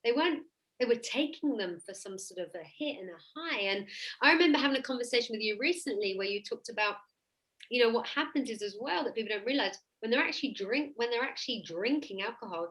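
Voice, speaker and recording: A woman's voice: medium pitch, a bit bright, low-quality recording. A woman hosting a casual conversation, talking informally from her own working experience. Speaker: host, therapeutic coach.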